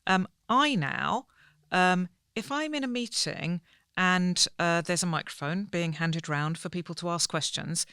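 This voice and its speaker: A woman guest on a podcast, speaking sincerely and in measured terms, with hesitant fillers between points. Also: lowish voice, bright, forceful tone, clean tone, dry recording, studio quality. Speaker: podcast guest, senior academic. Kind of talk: conversational podcast discussion.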